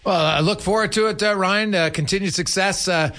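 A man hosting a casual sports interview, speaking haltingly with many filler sounds. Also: high voice, sharp tone. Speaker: radio sports interview host.

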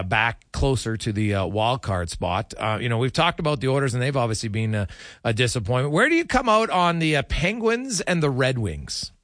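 A man's voice: medium pitch, fairly bright tone, dry recording, studio quality. A man hosting a casual, conversational sports radio interview, freely offering his opinions.

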